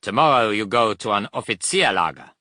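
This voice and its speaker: A man's voice: lowish voice, lively intonation, fairly quick, bright, forceful tone, dry recording, studio quality, pitched above the performer's own voice. A man voicing a correct German prison camp commandant with a German accent and a stiff, formal manner. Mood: formal, pleased.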